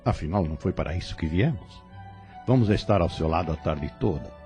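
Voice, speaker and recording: A man playing a calm, kindly spirit guide in an audio drama, reassuring and measured, with gentle authority. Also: low-pitched, soft background music. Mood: gently firm. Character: calm, kindly male spirit instructor guiding his pupil.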